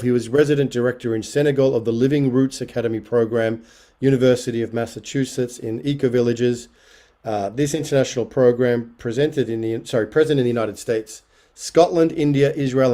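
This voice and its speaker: A man's voice: medium pitch, warm tone. A man moderating a panel, reading out a guest's introduction with small slips and corrections. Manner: reads with slips and self-corrections.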